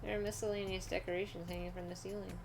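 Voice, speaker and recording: A woman's voice: mid-range voice, level tone, rapid-fire, gravelly, background noise. A woman streaming a game, casually reacting and reading game text aloud. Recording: music behind the voice. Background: game music.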